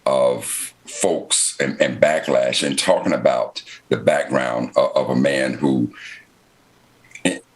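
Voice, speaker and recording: Very deep-voiced American man moderating a discussion. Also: fairly even intonation, slow. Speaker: panel moderator. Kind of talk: unscripted political discussion.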